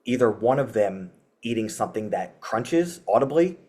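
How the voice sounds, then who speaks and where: A man's voice: mid-range voice, expressive, normal speed, darkish tone. Man, metal frontman, podcast guest, rambling, laughing, casual chat. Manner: rambling, laughs often, many "like" fillers.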